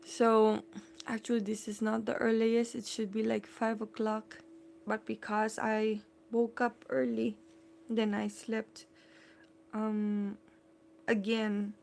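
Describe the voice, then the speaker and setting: Woman, 30s, slightly high voice, casual live-stream chat, greets viewers by name. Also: completely flat delivery, takes it slowly, vocal fry, a little mellow, slight background noise. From Philippines. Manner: chatty, greets and answers viewer comments, breaks off to attend to her child. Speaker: live-stream host, mother.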